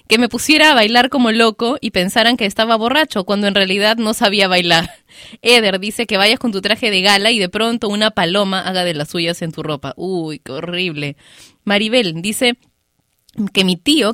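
A female radio host with a bright, sharp voice, chatting through listener messages and giggling as she goes. She is close on a studio microphone, and the sound is dry and close. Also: medium pitch, dry recording. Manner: chatty, giggles between stories. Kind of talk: casual radio chat reading listener messages.

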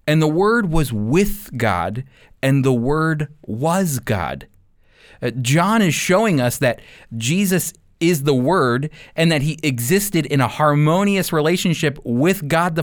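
A man delivers a prepared, sermon-like Bible lesson with emphatic, deliberate phrasing. His voice is a little high and swings widely in pitch, recorded dry with no room sound. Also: no echo. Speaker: Bible teacher.

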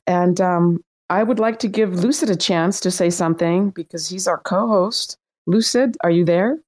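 A middle-aged woman hosts a casual online chat in a lively, talkative way and laughs easily. Her voice sits somewhat low. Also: normal speed. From Iran. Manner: lively and chatty, laughs often. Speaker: host. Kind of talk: casual chat hosting an online audio room.

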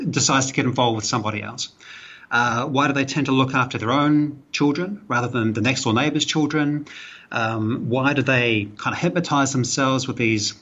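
A man with a New Zealand accent talks through ideas at length, pausing on fillers and restarting phrases. His voice is husky and mid-pitched. The sound is muffled and lo-fi, like a remote call. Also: a bit bright. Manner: thoughtful, explanatory, with frequent fillers. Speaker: guest, author and psychology professor. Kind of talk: podcast interview.

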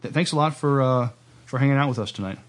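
A man's voice: medium pitch, quick pace. A man hosting a casual podcast interview, speaking in a hesitant, agreeable way. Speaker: podcast host.

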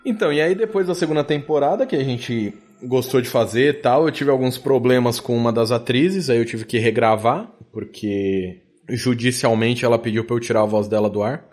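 A man with a Brazilian accent talks as a guest on a podcast. His voice sits at a medium pitch. He explains things in a loose, colloquial, conversational way, like an audio drama creator discussing his craft. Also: normal speed, hardly any pauses, a little mellow. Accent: Brazilian Portuguese.

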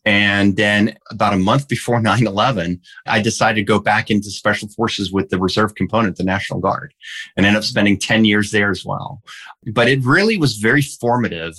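A native Danish speaker in his fifties, raised in Denmark, answering interview questions at an unhurried, reflective pace. His voice sits very low and settles into a deep, rumbling register. He tells his story steadily, thinking as he goes. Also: fairly bright tone. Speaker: guest. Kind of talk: conversational interview.

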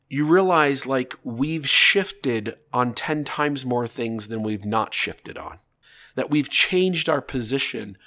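A man with a touch of a cold in his voice, talking earnestly and at length. He stacks point after point in long lists and keeps checking in with his listener. His pitch sits in a middling range. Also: sharp tone. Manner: earnest, building arguments in long lists, frequent rhetorical "right?". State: has a slight cold. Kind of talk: casual talk to camera or podcast.

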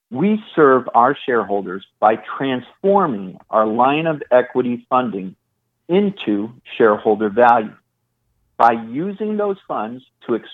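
A man speaking as a chief executive, reading prepared corporate remarks in a formal, measured way over a phone. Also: mid-range voice. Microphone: phone call. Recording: thin, narrow phone line.

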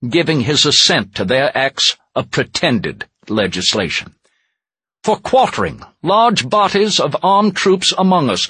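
A stately 18th-century American statesman, played by a man, gives a formal, solemn recitation. His husky voice sits fairly high and rises and falls widely. The delivery is deliberate, indignant and resolute, with weighted pauses in a dry recording. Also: a bit bright, exaggerated voice. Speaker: historical interpreter. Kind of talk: formal dramatic recitation of a historical document.

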